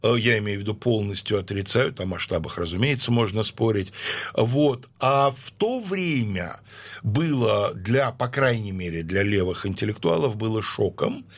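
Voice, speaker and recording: A man speaking as a guest historian in an interview, explaining at a measured pace with many hesitations. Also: fairly low pitch, lively intonation. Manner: measured, lecturing with frequent hesitations.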